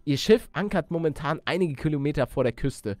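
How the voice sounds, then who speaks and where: A man's voice: a little high, animated, fairly quick, dark tone, no room sound, close to an everyday voice. A man voicing a courteous, sentimental ship captain as he reads out video game dialogue. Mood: earnest, sentimental. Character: plain-spoken male ship captain, polite and sentimental.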